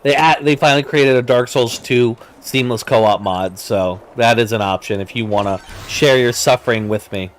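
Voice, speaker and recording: A man chatting casually with a friend on a stream, relaxed and teasing, calling him "bro". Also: mid-range voice, moderate pace, hardly any pauses, slight background noise. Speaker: co-host friend. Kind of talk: casual chat.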